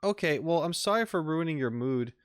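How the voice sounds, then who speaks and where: A man's voice: fairly high pitch, animated, fairly quick, clean tone, no echo, studio quality. A man commentating casually on a game as a streamer, full of joking, mock-outraged asides. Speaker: gaming streamer.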